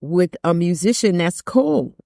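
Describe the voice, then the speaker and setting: Older lady jazz singer chatting on a podcast, low deep voice, keeps repeating stuff for emphasis, dry close mic. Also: lively intonation, measured pace, vocal fry, dark tone, no echo. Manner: emphatic, repeats phrases for stress. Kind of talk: candid podcast conversation.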